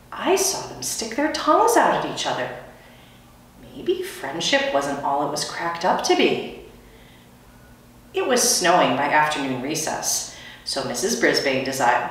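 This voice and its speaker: A woman's voice: low voice, a bit bright. A middle-aged woman reading a children's book aloud like a schoolteacher. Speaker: teacher, guest reader. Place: small indoor school room. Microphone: camera a few feet away. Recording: very echoey room, distant microphone.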